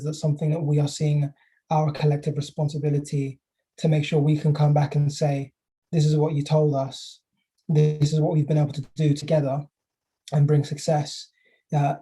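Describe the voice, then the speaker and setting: A man with a very dark, mellow voice and a slightly higher pitch speaks on a video call. He is measured and thoughtful, pausing as he works through his points, and his pitch stays flat. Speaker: meeting participant. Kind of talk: reflective contribution to an online council meeting.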